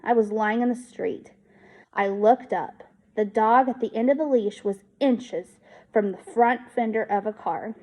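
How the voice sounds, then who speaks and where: A woman teacher reading a children's book aloud in an expressive storytelling style. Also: fairly high pitch, a little slow.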